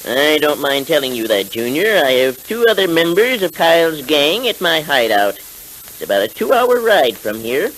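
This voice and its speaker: Mocking, theatrical western vigilante. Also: male voice, fairly high pitch, big pitch swings, moderate pace, fairly bright tone, poor audio. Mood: smug, commanding. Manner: theatrical, taunting, formal speech. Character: masked western vigilante rider, mocking, coldly self-righteous. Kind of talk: 1930s radio adventure serial acting. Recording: hissy 1930s radio recording.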